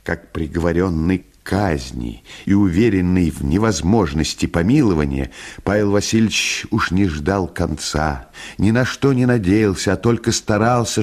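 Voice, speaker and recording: A man reading a comic short story for radio as an expressive, theatrical narrator. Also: low-pitched.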